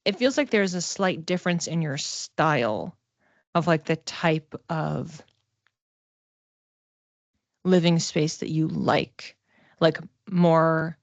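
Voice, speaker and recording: A woman giving a casual one-to-one card reading as a psychic, talking conversationally and haltingly, circling back over phrases as she thinks aloud. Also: sits low, no room sound, muffled recording. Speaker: psychic reader.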